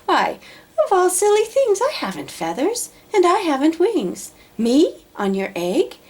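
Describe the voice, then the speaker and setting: A woman voicing a huge male elephant in a children's storybook, gentle, faithful and earnest. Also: very high-pitched, huge pitch swings, pitched above the performer's own voice, full character voice. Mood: incredulous. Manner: earnest, deliberate. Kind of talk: children's storybook read-aloud.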